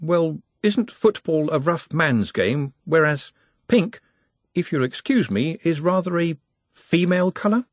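Guy doing a timid bookish servant fella for a storybook, raised pitch, very proper and careful, slightly husky. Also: big pitch swings, measured pace, no room sound. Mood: doubtful. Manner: precise, formal, hesitant wording. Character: meek, anxious, bookish male servant, earnest and overly polite.

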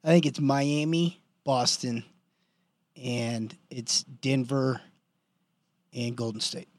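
A man's voice: mid-range voice, steady tone, takes it slowly, no echo. A man co-hosting a podcast, chiming in with short, easygoing replies. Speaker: podcast co-host. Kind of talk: casual sports chat.

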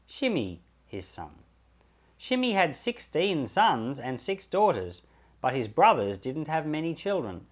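Guy reading bible passage out loud, pastor style, deliberate phrasing, pitch moves up and down a lot. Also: slightly rough. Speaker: pastor reading scripture on a podcast. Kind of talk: measured scripture reading aloud.

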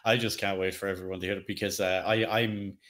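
A man with a fairly low voice chats informally as a podcast co-host. He sounds enthusiastic and likes to slip in teasing asides. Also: little pitch movement, fast, a bit bright. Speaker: podcast co-host discussing video games and lore. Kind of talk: casual chat.

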